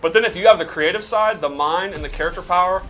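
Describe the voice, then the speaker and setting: A man with a bright, higher-pitched voice explains game mechanics casually. He talks quickly, with music in the background. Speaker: game developer explaining character moves. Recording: music playing behind the voice.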